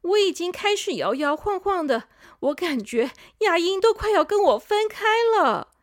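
A woman's voice: very high-pitched, very animated, moderate pace, dry recording, clean studio sound, voice pushed up. A woman voicing an older sister canine tooth in a children's story, fretful and scolding.

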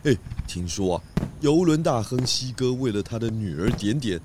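A man's voice: medium pitch, animated, normal speed, noisy background, natural voice. A man voicing a jolly male pig detective in a kids' audio drama, cheerful and greedy for food.

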